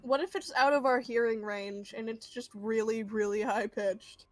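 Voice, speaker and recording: Playful high-voiced woman in a tabletop game. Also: fairly even intonation, normal speed, no echo. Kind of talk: casual, playful game chat.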